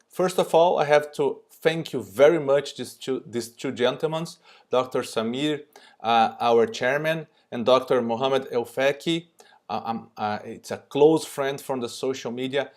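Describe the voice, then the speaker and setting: Brazilian man, mid pitch, halting remote conference lecture. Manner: non-native, halting with frequent fillers. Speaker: surgeon presenting. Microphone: remote recorded presentation.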